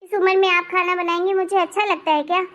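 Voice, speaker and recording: A woman voicing a hot-tempered, demanding young married daughter in an animated family drama, with a sharp-tongued way of talking. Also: very high-pitched, fast, dull audio. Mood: angry, indignant.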